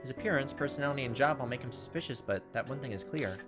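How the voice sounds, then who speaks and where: Over clear game music, a man with a mid-pitched voice reads visual novel story text aloud. He is a let's play host who moves between narration and casual remarks. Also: very fast. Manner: slips into acted character voices between narration. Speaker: gaming video host. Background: video game music.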